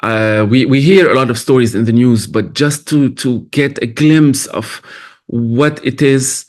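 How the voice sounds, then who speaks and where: Brief: film director from Lebanon at a festival Q&A, a man of middle pitch, reflective and halting. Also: darkish tone. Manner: thoughtful, halting, many restarts. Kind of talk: festival Q&A answers.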